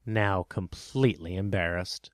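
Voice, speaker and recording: A man's voice: low-pitched, measured pace, no echo, studio quality. A man narrating a scripted storybook tale for a radio drama.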